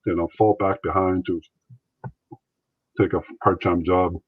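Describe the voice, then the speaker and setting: Man, very low voice, tinny call audio, rambling casual talk. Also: level tone, measured pace, slightly rough, no room sound. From Maritimes, Canada. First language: French. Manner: rambling, many restarts and fillers. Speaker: interview guest, former wrestler and actor. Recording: thin phone-like line, dry.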